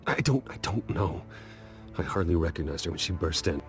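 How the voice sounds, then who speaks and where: A man's voice: sits low, lively intonation, a little fast, soft background music. A man playing a guarded, grim vigilante billionaire in a video game, terse and controlled. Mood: troubled, measured. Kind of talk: video game drama acting.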